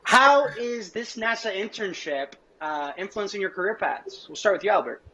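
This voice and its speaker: A man's voice: high voice, expressive, normal speed, sharp tone. A man hosting a panel interview over a video call, upbeat and conversational. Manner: upbeat, conversational, casual fillers. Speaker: host. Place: home room with plants. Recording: dull lo-fi call audio.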